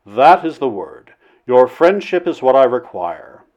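Storybook gentleman visitor, read by a man: courteous, flustered, wry, animated mid-range voice. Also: medium pitch, a little slow, dull audio. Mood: guarded. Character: Flynn, polite, flustered male visitor being courted. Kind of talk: audiobook character dialogue.

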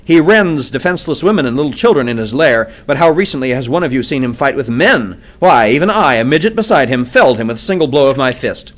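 A man's voice: medium pitch, expressive, quick pace, hardly any pauses, natural voice. A man voicing a bold hero who delivers a rousing speech to a warrior council in an audiobook. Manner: impassioned, rousing oratory.

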